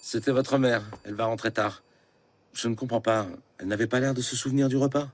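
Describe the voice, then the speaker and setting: A man voicing a preoccupied, puzzled middle-aged father in an animated cartoon, with a fussy, expressive delivery. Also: medium pitch, fairly quick, close to an everyday voice. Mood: puzzled, irritated.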